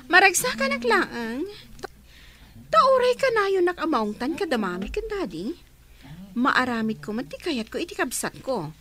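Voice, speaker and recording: A Filipino actress in a radio drama playing a spoiled, domineering young elder sister who scolds and makes haughty demands. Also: female voice, high-pitched, big pitch swings, moderate pace, bright, forceful tone, heavily acted. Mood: haughty, defiant.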